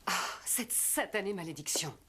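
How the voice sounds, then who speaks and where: An actress playing a gentle, wry hunter's wife in a French cartoon. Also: female voice, fairly low pitch, lively intonation, normal speed, background noise, close to an everyday voice. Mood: resigned. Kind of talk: French animated series dubbing.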